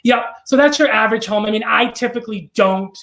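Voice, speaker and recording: A man's voice: high voice, fairly quick, creaky, pushed and bright. A man in his thirties with a New York accent, a real estate broker giving casual, rambling interview answers full of ums and restarts. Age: 30s.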